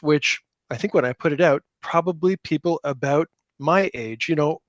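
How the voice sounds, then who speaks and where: A man's voice: fairly high pitch, no room sound. A middle-aged man talking as an interview guest, explaining his work in a casual, self-deprecating way. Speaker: podcast guest, tabletop game designer.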